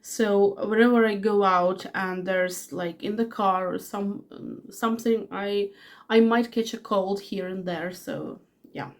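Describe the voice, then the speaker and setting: A woman's voice: medium pitch, steady tone, unhurried. Chatty woman in her forties with a cold. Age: 40s. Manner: chatty, rambling with many fillers and restarts. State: has a cold. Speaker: fragrance reviewer. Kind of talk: casual product review vlog.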